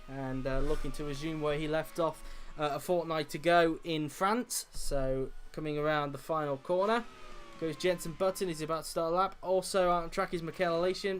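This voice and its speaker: A man with a croaky voice, giving steady play-by-play commentary on a sim racing qualifying session. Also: high-pitched, a little hiss or hum. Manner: steady lap-by-lap play-by-play with frequent "uh" fillers.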